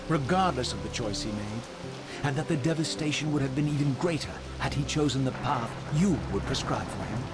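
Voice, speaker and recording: An actor playing a sardonic undead vampire wraith who speaks in measured, probing arguments. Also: male voice, medium pitch, natural voice. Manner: measured, sarcastic. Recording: echoey with loud score.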